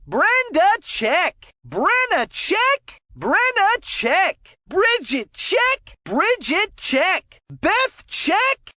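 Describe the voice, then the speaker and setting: A woman voicing a cartoon child hockey announcer in a video game, calling out names in a drawn-out announcer delivery. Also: very high voice, sharp tone, dry recording, studio quality, heavily acted. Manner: drawn-out announcer delivery of names, each said questioning then declarative. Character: high-voiced cartoon child hockey announcer, Buddy Cheque. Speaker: sports commentator.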